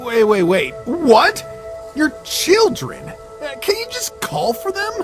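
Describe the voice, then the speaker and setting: High, exasperated young Antares. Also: male voice, huge pitch swings, slow pace, faint music behind, heavily acted. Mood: incredulous. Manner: indignant, sputtering. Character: proud, exasperated young male deer, Antares, defensive.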